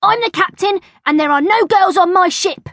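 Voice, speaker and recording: A woman voicing a bossy, excitable schoolboy pirate captain in a children's story, loud and emphatic. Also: high voice, slow, dry recording, studio quality, pitched above the performer's own voice, full character voice. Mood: dismissive, bossy.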